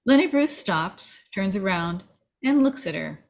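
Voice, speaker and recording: A woman of medium pitch hosts an informal table read over a video call. She speaks in an amateur narrator's and casual chatter's style. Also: animated, unhurried, vocal fry. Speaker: table-read host reading stage directions. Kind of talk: amateur script narration and casual group chat.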